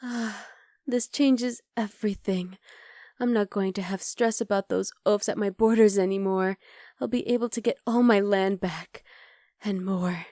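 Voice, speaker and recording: Woman playing a soft-spoken scheming witch. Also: medium pitch, fairly even intonation, measured pace, no room sound, clean studio sound, close to an everyday voice. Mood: exhilarated. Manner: soft, intimate, coolly calculating, often half-whispered. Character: scheming, possessive adult female witch. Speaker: voice actor. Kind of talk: ASMR roleplay.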